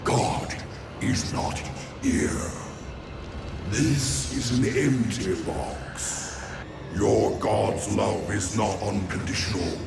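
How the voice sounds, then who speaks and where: An actor playing a bitter, mocking, godless villain with a gravelly voice and slow, theatrical delivery. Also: male voice, on the low side, steady tone, full character voice. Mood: bitter, taunting. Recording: echoey, loud music underneath.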